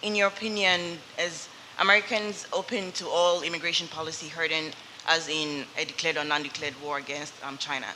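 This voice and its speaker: Female audience member, non-native English, hesitant, bright voice, echoey hall with hiss. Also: low-pitched, steady tone, fairly quick. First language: not English. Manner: hesitant, searching for words. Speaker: audience member asking a question. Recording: distant hall pickup with faint hiss.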